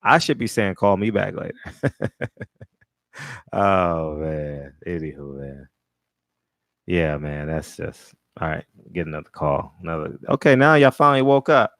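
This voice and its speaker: A man's voice: lowish voice, a bit bright, no room sound, studio quality. A man in his forties hosting a call-in show, chatting casually with callers in a laid-back, joking, slangy way. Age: 40s.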